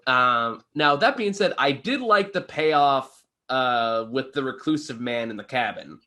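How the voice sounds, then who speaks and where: A man's voice: medium pitch, animated, unhurried, sharp tone. A man casually discussing a film with a co-host as a reviewer, opinionated and swearing freely.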